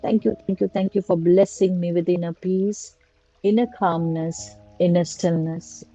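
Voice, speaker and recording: A woman slowly guiding a prayer and meditation in a soft, repetitive litany of blessings. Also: on the low side, steady tone. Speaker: meditation guide. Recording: dull lo-fi recording with faint music. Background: faint music.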